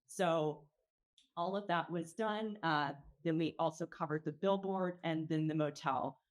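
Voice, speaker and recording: A woman presenting an arts organization's work in an informal slide talk, explaining in a measured, halting way with pauses. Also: low voice. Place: event pavilion.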